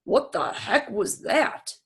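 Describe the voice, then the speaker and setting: Woman reading as a blunt, skeptical man, husky. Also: a little high, very animated, slow pace, fairly bright tone, full character voice. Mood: dismissive. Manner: skeptical, emphatic. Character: blunt, dismissive male janitor closing up.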